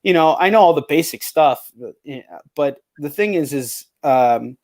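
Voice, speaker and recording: Higher-voiced man, blunt casual podcast host. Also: lively intonation, normal speed, darkish tone. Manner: rambling, heavily profane, blunt. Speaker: show host. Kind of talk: casual video chat interview.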